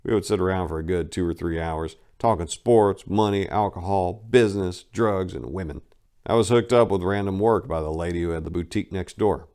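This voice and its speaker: Deep-voiced man reading his memoir. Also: fairly quick, darkish tone, clean tone. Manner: casual, wry storytelling with blunt, crude humor. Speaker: narrator reading a memoir aloud. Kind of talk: first-person dramatic reading.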